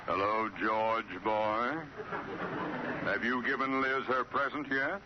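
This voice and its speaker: A noisy, muffled vintage radio recording of a man playing a blustering sitcom boss. He is pompous and impatient, barking emphatic orders and threats in a mid-pitched voice. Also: little pitch movement, takes it slowly, close to an everyday voice. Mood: bluff. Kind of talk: 1940s-50s radio situation comedy acting.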